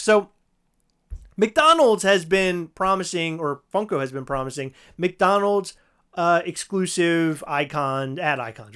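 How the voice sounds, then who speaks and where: A podcast host, slightly hoarse, chatting on a livestream and ranting loosely with plenty of fillers and restarts. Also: medium pitch, fairly bright tone. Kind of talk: casual livestream chat.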